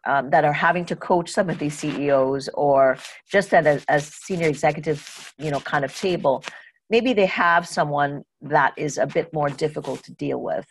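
A woman with a deep, low-set voice hosts an interview. She is from Trinidad and Tobago and talks conversationally. She thinks aloud at length, reflecting as she goes. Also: lively intonation, moderate pace. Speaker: podcast host and interviewer.

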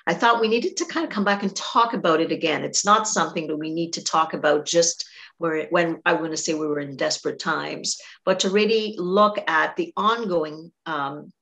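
Low gravelly-voiced woman host from Trinidad. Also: moderate pace, a bit bright. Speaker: podcast host. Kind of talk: conversational interview.

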